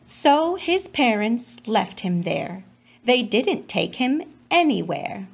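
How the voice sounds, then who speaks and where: Female storybook reader: highish voice, unhurried pace, children's read-aloud narration. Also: very animated, creaky, slight background noise.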